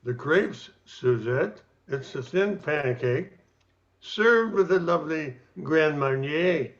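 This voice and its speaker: A man playing an arrogant, fussy handsome diner customer in an amateur radio comedy, speaking deliberately. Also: on the high side, lively intonation. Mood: fussy, patient. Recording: dull lo-fi audio.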